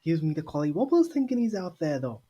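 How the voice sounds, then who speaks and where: Woman as husky, cheeky young bus conductor. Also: low voice, sing-song, fast talker, warm tone, dull audio, heavily acted. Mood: gossipy, ominous. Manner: colloquial, chatty, gossipy. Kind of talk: audio drama character acting. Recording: music under the voice.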